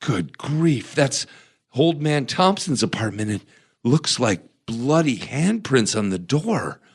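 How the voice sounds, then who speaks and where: An actor playing an uneasy, reclusive record collector in a horror audio drama, hesitant and muttering to himself. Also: male voice, medium pitch, big pitch swings, takes it slowly, breathy edge, exaggerated voice. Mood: alarmed, muttering.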